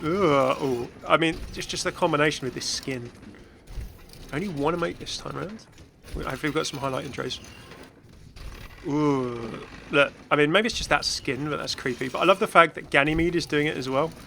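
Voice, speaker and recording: A man hosting a gaming video with casual, excited reaction commentary in a rambling, exclamatory way. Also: fairly high pitch, fairly quick, gravelly, background noise. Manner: rambling, exclamatory, chuckling asides.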